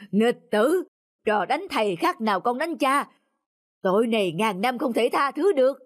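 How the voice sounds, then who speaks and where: A man playing a stern, wise elderly scholar in a cartoon folk tale, admonishing in a measured way. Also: extremely high pitch, expressive, full character voice. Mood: stern reproach. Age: older.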